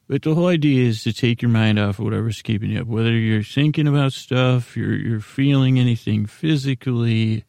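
A man's voice: fairly low pitch, creaky, darkish tone, no echo, studio quality. A man hosting a bedtime podcast in a soothing, lulling way, meandering and stumbling over his words as he goes. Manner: meandering, halting, word-fumbling. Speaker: podcast host. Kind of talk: rambling bedtime podcast monologue.

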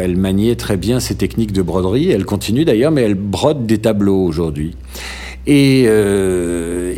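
A middle-aged man from France in an interview, talking thoughtfully with frequent "euh" pauses and restarts and some dry humor. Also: lowish voice, warm tone. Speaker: interview guest. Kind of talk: hesitant conversational interview.

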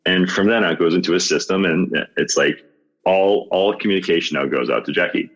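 Very deep-voiced man in his fifties. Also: fairly quick, a bit bright, muffled recording. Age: 50s. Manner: earnest, with frequent laughs and restarts. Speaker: podcast guest. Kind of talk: casual conversation.

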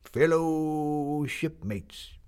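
A man doing a creaky ancient sea captain. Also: a little high, darkish tone, pitched above the performer's own voice. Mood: orating. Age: older. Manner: slow, grandiloquent speechmaking.

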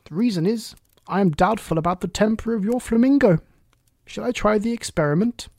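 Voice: medium pitch, a little fast, darkish tone, no room sound, clean studio sound, voice pushed up, lightly acted. A reader voicing a pompous, moralizing and cloyingly agreeable Duchess, a rambling, self-pleased woman, in a storybook. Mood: coy, moralizing.

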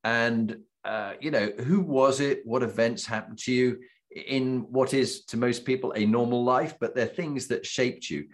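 A man's voice: mid-range voice, fairly even intonation, unhurried. A man hosting a conversational interview, speaking in an effusive, complimentary manner. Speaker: interviewer and host. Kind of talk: warm-up interview chat.

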